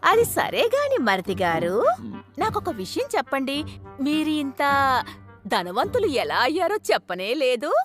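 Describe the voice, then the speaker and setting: High, swoopy greedy cartoon wife, all big dramatic gasps and nagging, music underneath. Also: female voice, huge pitch swings, a little slow, heavily acted. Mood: suspicious. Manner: dramatic, theatrical exclamations, scolds her husband. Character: greedy scheming village sister-in-law, sharp-tongued, nagging. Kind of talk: cartoon dubbing. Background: background music.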